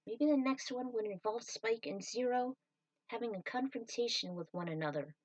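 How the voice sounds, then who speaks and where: A woman's voice: on the high side, moderate pace, no room sound, distant mic, poor audio. Woman recorded close and dry. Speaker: fan fiction author. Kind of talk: author's commentary on own story.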